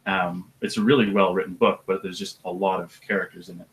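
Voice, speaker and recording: An audiobook narrator with a deep voice, talking about his work in a relaxed, thoughtful way with some hesitation. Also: brisk, poor audio. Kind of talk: casual interview chat.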